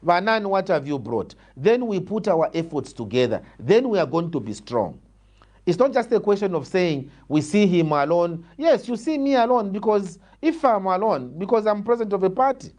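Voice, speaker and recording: A man's voice: high voice, a little mellow. A man with a Zambian accent giving argumentative political answers, emphatic and repeating phrases for stress. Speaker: opposition party politician, guest.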